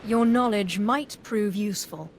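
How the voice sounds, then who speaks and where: A woman's voice: medium pitch, a little slow, slight background noise, lightly acted. A woman playing a young, determined Sith apprentice in a video game, with a formal, self-assured manner. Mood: determined, inquisitive. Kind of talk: scripted video game voice acting.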